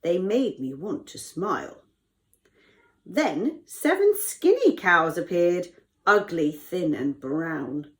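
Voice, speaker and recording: A woman's voice: on the low side, sing-song, slow pace. A woman voicing a commanding but troubled male ruler in a declamatory, theatrical storybook style. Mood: bemused. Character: commanding, troubled male Egyptian ruler telling his dreams.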